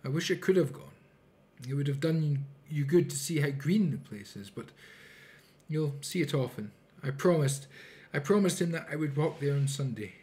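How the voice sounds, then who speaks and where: A man voicing a gentle, humble, grieving Victorian father in a storybook reading. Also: fairly high pitch, animated, moderate pace, close to an everyday voice. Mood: tender.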